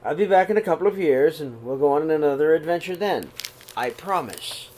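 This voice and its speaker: A middle-aged man reading a children's picture book aloud in his living room, then talking warmly to young listeners, with a gentle, unhurried pace and the odd throat clear. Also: on the high side, lively intonation, a little hiss or hum, low-quality recording. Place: living room, seated on a sofa before curtains. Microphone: webcam or laptop camera at close range.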